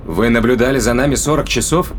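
A man's voice: medium pitch, fast talker, background noise, natural voice. A man playing a sardonic, reasoning spaceship crewman in an audio drama, explaining things in a colloquial way. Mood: wry, explanatory.

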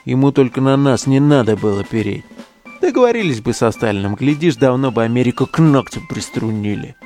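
A male actor performs a crude, hot-tempered young man in an audio play. He is brash and sneering, with street slang and a very animated, mid-pitched voice. Faint music runs behind him. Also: brisk, natural voice. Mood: angry, defiant. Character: hot-tempered crude young neo-Nazi relic digger. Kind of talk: audio drama acting.

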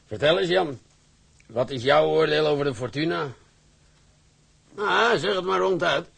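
A gravelly fatherly shipping boss. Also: on the high side, animated, a bit bright, full character voice. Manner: measured, kindly, hesitant fillers. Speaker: shipping company director.

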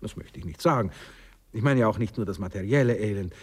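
A moralizing Austrian husband in an old radio drama, with a low, slightly husky voice. He lectures like a condescending father, pompous and easily scandalized, hesitating over delicate words. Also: fast talker. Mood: pompous, lecturing. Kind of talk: 1960s German-language radio play acting. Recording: reverberant 1960s radio drama studio recording.

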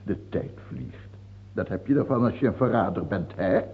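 Husky, capricious tyrant king. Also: lowish voice, expressive, moderate pace. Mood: taunting, musing. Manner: imperious, taunting, hesitating then outbursting. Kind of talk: theatrical radio drama acting. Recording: muffled, phone-like old radio play recording.

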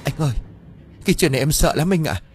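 A man's voice: medium pitch, a little slow, a little mellow, soft background music, pitched above the performer's own voice, natural voice. A man voicing a terrified young wife in a horror story, stammering, tearful and pleading. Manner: stammering, whimpering.

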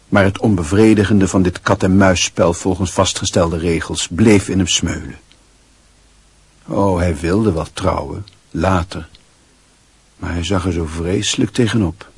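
A man's voice: lowish voice, a little mellow, husky, no echo. A man narrating a radio play as a grave, literary storyteller.

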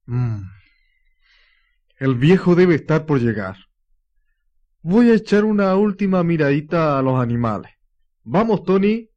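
An actor playing a patient eighteen-year-old Chilean farm lad who mutters to himself in a radio drama. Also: male voice, on the high side, very animated, measured pace, long pauses. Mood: calm, musing. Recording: dull lo-fi radio drama recording.

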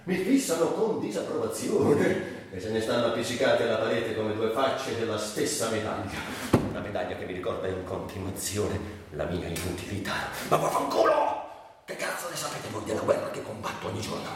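A man's voice: fairly low pitch, animated, a little fast, close to an everyday voice. An actor playing a bitter, sardonic, tormented man confined by illness in a theatrical monologue, volatile between muttering and declaiming. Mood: bitter, raging. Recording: very echoey, distant, faint noise.

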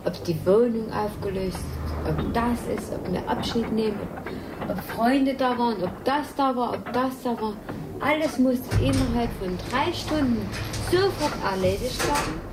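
A distressed middle-aged woman recounting personal events in halting, insistent, repetitive phrases. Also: on the high side. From East Germany. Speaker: mother recounting her children's departure. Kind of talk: emotional documentary recollection. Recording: very noisy location recording.

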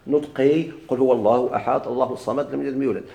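A man with a Moroccan Arabic accent teaches grammar in a dark, gravelly voice. He moves briskly, repeats key words and quizzes his students. The recording is echoey. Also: mid-range voice, steady tone. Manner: explains slowly, repeats and stretches key words, asks students questions.

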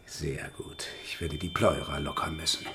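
An actor playing a self-assured, eccentric male doctor in a radio crime drama, giving clinical pronouncements with deliberate pauses. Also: a bass voice, fairly even intonation, sharp tone, a little hiss or hum. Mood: brisk, clinical.

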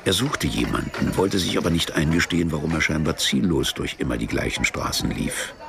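A male actor narrating a literary radio play in a measured, detached way, as a storyteller with dry irony. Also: a bass voice, expressive, creaky, background noise, full character voice.

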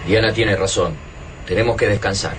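An actor playing a cautious, questioning member of a survivor group in a radio drama. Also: male voice, lowish voice, steady tone, normal speed, distant mic, noisy background, close to an everyday voice.

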